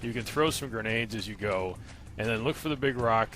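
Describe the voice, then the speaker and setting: A man's voice: fairly low pitch, a little fast, fairly bright tone. A man giving casual, step-by-step instructional commentary over a video game walkthrough. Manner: explanatory, step-by-step. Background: game gunfire and music.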